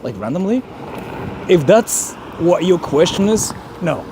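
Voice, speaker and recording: A man's voice: high-pitched, animated, measured pace, noisy background. A man, chatting casually on a live stream and reading viewer donations, rambling and joking with lots of "like.". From Japan. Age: 30s. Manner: rambling, joking, heavy use of "like".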